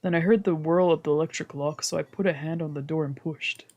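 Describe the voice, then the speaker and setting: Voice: medium pitch, steady tone, fairly quick, darkish tone, highs cut off. Streamer reading visual novel narration. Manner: reads narration in first person, translating lines, with whispered asides. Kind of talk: reading game narration aloud.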